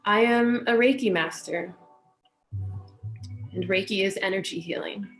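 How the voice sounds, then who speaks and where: A woman from California with an American accent, talking gently and unhurriedly as she hosts a guided meditation session and speaks in spiritual phrases. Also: medium pitch, measured pace, faint music behind, low-quality recording. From California, United States. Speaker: meditation teacher and session host. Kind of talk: welcoming introduction to a guided meditation, personal talk.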